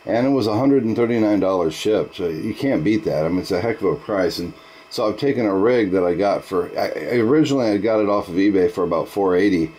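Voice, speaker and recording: A man with a low voice chats casually as a ham radio operator. He sounds enthusiastic and folksy, with some background noise behind him. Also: a little fast, a little mellow, rough recording.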